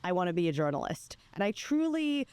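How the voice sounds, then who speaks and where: A woman's voice: fairly low pitch, sing-song, fairly quick, a little mellow, clear, resonant tone. A woman from Greece speaking as a podcast guest in a casual interview, chatty, emphatic and full of anecdotes. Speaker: podcast guest, PR professional and former TV news anchor.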